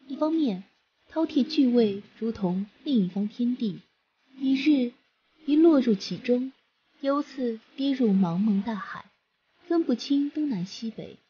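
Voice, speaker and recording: A woman narrating a fantasy audiobook in a soft, measured storytelling manner. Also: on the high side, dull audio, a little hiss or hum. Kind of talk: scripted narration. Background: background music.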